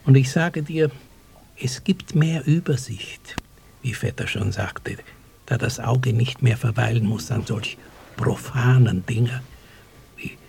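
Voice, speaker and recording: An actor playing an ironic, pompous village schoolmaster in a radio play, speaking in stilted bookish phrases with a gravelly voice. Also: male voice, mid-range voice, slow, darkish tone. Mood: dryly sarcastic. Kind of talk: 1980s German radio play acting. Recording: noisy old cassette radio-play recording.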